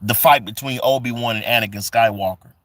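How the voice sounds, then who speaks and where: A man's voice: mid-range voice, fairly even intonation, brisk, a bit bright, no echo. Forty-year-old man live-streaming casual chat. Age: 40s. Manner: reads chat comments aloud and greets viewers; loose, repetitive, with many fillers.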